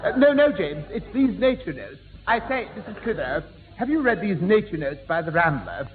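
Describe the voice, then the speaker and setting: A man's voice: high-pitched, very animated, brisk. A man playing a prim, giggly, easily flustered bumbler in a 1960s radio sitcom. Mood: amused. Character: fussy, giggly, well-spoken silly man, easily flustered. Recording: old tinny 1960s radio broadcast, hall, noisy.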